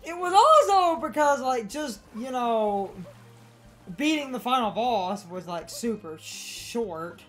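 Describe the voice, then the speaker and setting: A young woman co-hosting a let's play with casual, animated gaming commentary. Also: fairly high pitch, takes it slowly. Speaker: let's play co-host and editor. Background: video game music.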